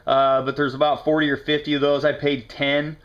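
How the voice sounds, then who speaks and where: A man's voice: a little high, little pitch movement, a little fast, a bit bright. An American man chatting casually to the camera in a laid-back, slangy, folksy way as he shows off his haul. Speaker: reseller showing yard sale finds. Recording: dull lo-fi camera audio.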